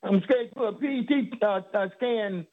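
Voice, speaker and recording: A man in his seventies calling in to a radio health show, talking haltingly with many stammered restarts. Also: high voice. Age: 70s. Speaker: caller. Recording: tinny phone line.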